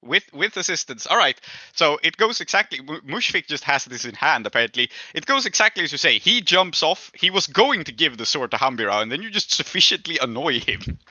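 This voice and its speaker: Bright-voiced man running a tabletop game. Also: on the high side, sing-song, brisk, no room sound. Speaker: game master. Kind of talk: narrating and adjudicating a tabletop game, casual.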